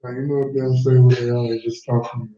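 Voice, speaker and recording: A man's voice: medium pitch, level tone, fast, dark tone. A man co-hosting a livestream, talking casually and helpfully. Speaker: livestream co-host. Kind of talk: casual chat. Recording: roomy, distant, lo-fi call audio.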